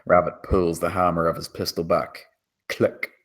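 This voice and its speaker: A man's voice: low-pitched, flat delivery, moderate pace, fairly bright tone. A man reading scripted screenplay action as the narrator.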